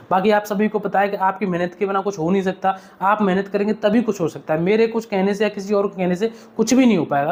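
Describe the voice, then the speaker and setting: A man's voice: high-pitched, darkish tone. A young man, speaking informally and earnestly to viewers like a mentor, repeating points and often checking they follow. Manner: earnest, repetitive, uses frequent check-in tags. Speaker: exam-update video creator and mentor. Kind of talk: informal advice vlog to viewers.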